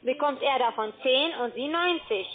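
A woman with a fairly high voice makes a sales pitch over a tinny phone line. She talks as a call-centre account manager. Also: normal speed.

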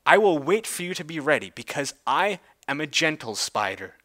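A man voicing a big, gentle, simple-minded male spider in an earnest, eager way for a storybook reading. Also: a little high, big pitch swings, moderate pace, heavily acted.

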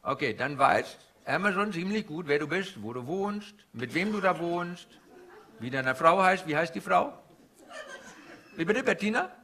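A man playing a cheery, flattering Indian finance guru with an Indian accent, in theatrical character stand-up that repeats phrases and banters with the audience. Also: fairly high pitch, unhurried, exaggerated voice. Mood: teasing, sly. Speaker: stand-up comedian. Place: indoor live venue before an audience. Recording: live stage with noisy audience. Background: audience laughter and crowd ambience.

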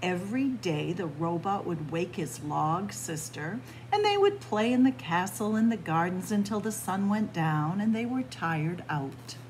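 Woman reading expressively to children, lively pitch. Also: measured pace, hardly any pauses, poor audio. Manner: expressive, addressing young listeners as friends. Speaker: library storyteller. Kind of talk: reading a picture book aloud to children. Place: indoors, library room with bookshelves. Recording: clear background room noise.

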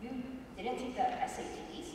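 A woman's voice: moderate pace, warm tone, a little hiss or hum, natural voice. A young woman on a school stage playing a college admissions interviewer who grows politely exasperated. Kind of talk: stage play performance. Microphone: far audience camera. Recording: echoey hall, far from camera.

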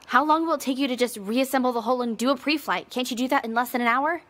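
A woman's voice: sits high, quick pace, fairly bright tone. A woman in an audio drama playing a proud, headstrong spaceship crew leader with an insistent, commanding manner. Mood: defiant, heated.

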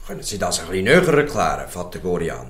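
An actor playing a mild, sympathetic priest in a Swiss German audio drama, courteous in manner. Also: male voice, deep voice, sing-song, fast talker, fairly bright tone, reverberant, slight background noise. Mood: kindly. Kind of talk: audio drama dialogue in dialect.

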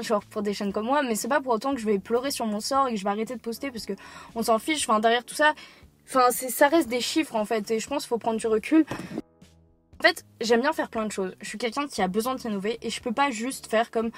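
An eighteen-year-old woman from France, talking casually to camera from a parked car, rambling with many fillers and restarts. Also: fairly high pitch. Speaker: YouTuber. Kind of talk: casual talking-head vlog.

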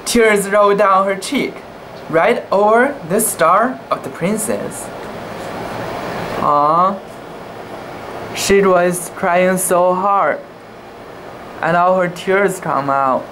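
A young man with a high, androgynous pitch reads a storybook dramatically. He goes slowly and expressively, swinging up and down, often cooing. It is recorded outdoors on a camera with steady noise behind him. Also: poor audio. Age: young adult. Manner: expressive, cooing, drawn-out words. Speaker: storybook reader. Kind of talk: dramatic children's book reading with asides. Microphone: camera at close range.

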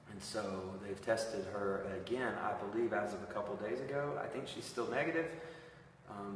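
A man, chatting casually in a relaxed, rambling way between songs, filmed on a phone a few feet away in a stairwell. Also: fairly low pitch, slight background noise. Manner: relaxed, rambling chat with fillers and jokes. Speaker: worship leader. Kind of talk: live-streamed acoustic worship with casual chat. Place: echoey concrete stairwell. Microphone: phone camera a few feet away. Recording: echoey stairwell from a distance.

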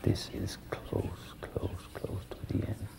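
A man telling a first-person story in a hushed, breathy whisper. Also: takes it slowly.

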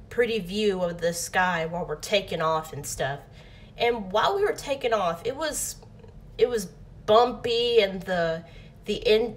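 A young adult woman telling a casual storytime in her bedroom, rambling with many restarts and fillers. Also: mid-range voice. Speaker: vlogger. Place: bedroom at home. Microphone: phone or webcam, close framing.

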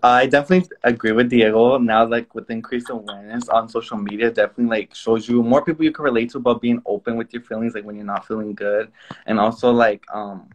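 A man on a video call panel, speaking in a soft-spoken, hesitant way. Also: medium pitch, fairly even intonation, fast, poor audio. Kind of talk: casual panel discussion. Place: room at home, indoors.

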